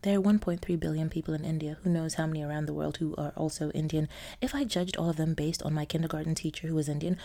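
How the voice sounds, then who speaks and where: A woman from Nigeria hosts her own podcast, telling a scripted story in a low, mellow voice. Her tone is wry and sardonic, with theatrical emphasis and soft laughs slipping in. A gravelly edge runs through her speech. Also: steady tone, quick pace. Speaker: podcast host.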